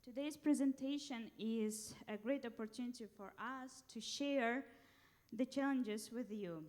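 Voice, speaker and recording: A woman's voice: on the high side, measured pace, a little mellow. A young woman from Uzbekistan giving a formal conference presentation into a handheld mic in a large hall, careful and hesitant with fillers.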